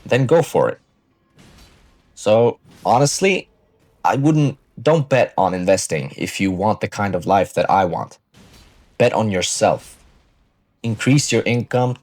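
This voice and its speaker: A man's voice: lowish voice, a little mellow, no room sound. A man in his early twenties giving a casual, blunt motivational monologue to viewers, assertive and swearing freely, on a close microphone. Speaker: finance vlogger.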